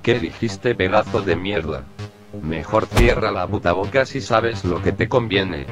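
A man's voice: sits low, measured pace, over music. A man playing a menacing, foul-mouthed gangster in an amateur drama dub, crude and curt. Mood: furious threats. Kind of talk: amateur Spanish dub acting.

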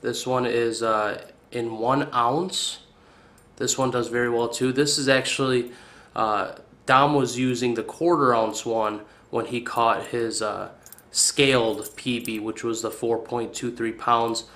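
A young man hosting a casual fishing video indoors, talking in a relaxed, hesitant way with frequent fillers, recorded on a camera mic at a counter. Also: medium pitch, a little slow. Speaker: fishing channel host. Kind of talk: casual tackle review. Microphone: camera mic on tripod.

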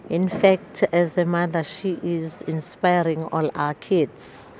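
A woman's voice: fairly low pitch, steady tone, slow pace, a little mellow, slight background noise. A proud woman speaking into a handheld mic. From South Africa. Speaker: graduate's sister. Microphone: handheld furry mic.

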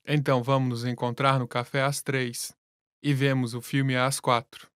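A man reading scripted lesson dialogue in a plain, relaxed way. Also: medium pitch, normal speed, dry recording, studio quality. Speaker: language lesson voice actor.

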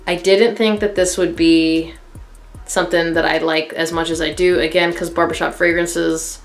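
A woman's voice: on the low side, flat delivery, normal speed, faint music behind. A woman in her thirties casually reviewing a fragrance to camera from her living room, candid and chatty with many fillers. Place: living room in front of a couch, indoors.

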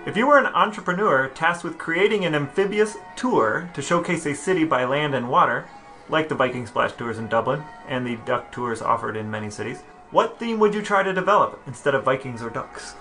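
A man's voice: on the high side, animated, fairly quick, pushed and bright, faint music behind. A man co-hosting a casual podcast, bantering and asking questions with dry, joking delivery. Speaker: podcast co-host.

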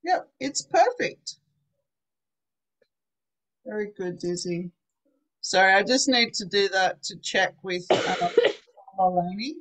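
A woman teaching an online English class over a video call, slow and patient, praising her students often. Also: medium pitch, expressive, fairly bright tone, low-quality recording. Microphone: laptop webcam on a video call.

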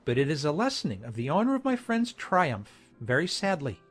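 Woman playing a genial colonel, male gentleman, formal, understated, lowered storybook voice, soft music behind. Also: big pitch swings, brisk, breathy edge, heavily acted. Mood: genial, measured. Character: genial gentlemanly English colonel, adult man, lightly jesting. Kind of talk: dramatized audiobook character dialogue.

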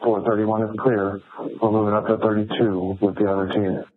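A male police officer calling in over the radio in terse, clipped police radio traffic. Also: on the low side. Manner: clipped, flat and fast. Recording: tinny police scanner radio.

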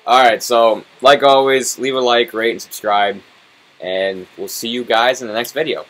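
A man's voice: mid-range voice, steady tone, normal speed, fairly bright tone, low-quality recording. A young man hosting a let's play, chatting casually and swearing as he plays. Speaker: let's play host and player. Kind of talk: casual gaming commentary.

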